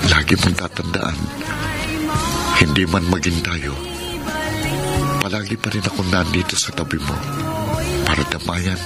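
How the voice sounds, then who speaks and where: An earnest Filipino tricycle driver in a radio drama, devoted and secretly in love. His voice is very gravelly and fairly deep, with a Filipino accent, over loud music. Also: steady tone, unhurried, long pauses. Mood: earnest, lovesick.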